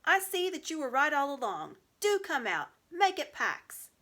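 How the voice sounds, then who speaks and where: A woman voicing a peevish young schoolboy in a storybook, who calls out loudly or grumbles sulkily. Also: sits high, big pitch swings, a little slow, pitched above the performer's own voice, exaggerated voice. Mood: calling out. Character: Edmund, peevish young English schoolboy, shouting and muttering.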